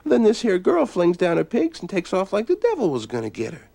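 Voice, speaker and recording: A man voicing a tricky, boastful male rabbit in a storybook tale, with a high, sing-song squealing voice. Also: wildly swooping pitch, brisk, vocal fry, warm tone, exaggerated voice. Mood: puzzled. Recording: guitar playing behind.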